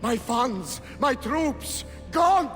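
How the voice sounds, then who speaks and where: A tyrannical young nobleman, arrogant and explosive. His delivery is slow and declamatory, with a sharp edge and wide swings in pitch. It sounds like a cutscene in a big echoing hall. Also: animated, full character voice. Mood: demanding, angry. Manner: imperious, declamatory, bursting into shouts. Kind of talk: dramatic video game cutscene acting. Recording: long hall reverb, faint music behind.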